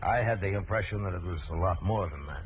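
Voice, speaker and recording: Male actor as calm, authoritative western lawman: low voice, dry delivery, muffled noisy old radio recording. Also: quick pace, natural voice. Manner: dry, understated, firm. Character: calm, weary, authoritative frontier marshal, adult man. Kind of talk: 1950s radio western acting.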